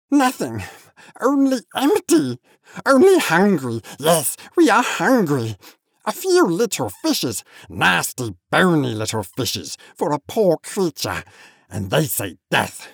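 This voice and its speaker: A wretched little storybook creature with a high, husky whine that swoops wildly. It cringes and whimpers, repeating itself in broken phrases. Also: male voice, no echo, clean studio sound, heavily acted. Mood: pleading, hungry, then bitter, sullen. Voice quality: whining, whimpering. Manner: repetitive broken speech, calls himself we.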